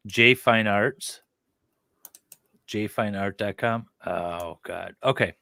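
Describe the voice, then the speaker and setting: Sarcastic man chatting, bright, dry sound. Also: on the low side, slow, gravelly, dry recording. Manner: sarcastic, mocking asides. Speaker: host. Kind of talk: casual chat with read-out web page and commentary.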